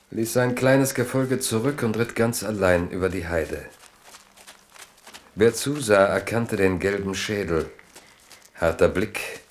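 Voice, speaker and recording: A male narrator for a radio drama, pitched deep. He reads literary prose as a storyteller would. The delivery is measured and dramatic. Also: slight background noise.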